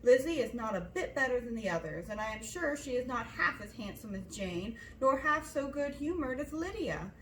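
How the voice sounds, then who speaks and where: A woman's voice: on the high side, very animated, normal speed, hardly any pauses, reverberant, slight background noise, rough recording. A woman voicing a nervous, fretful middle-aged mother who complains and exclaims insistently in a storybook reading. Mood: vexed.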